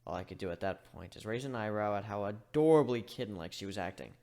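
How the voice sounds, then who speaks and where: A man giving an expressive amateur dramatic reading of a story. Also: on the low side, fast talker. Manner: animated, expressive delivery. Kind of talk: dramatic reading of fan fiction.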